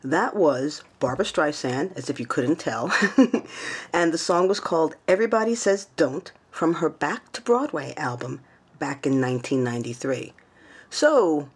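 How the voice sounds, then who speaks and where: A woman hosting a radio show in a bubbly, animated way. Her pitch swings widely as she talks, climbing high when she cheers. She speaks into a studio microphone. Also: slightly rough.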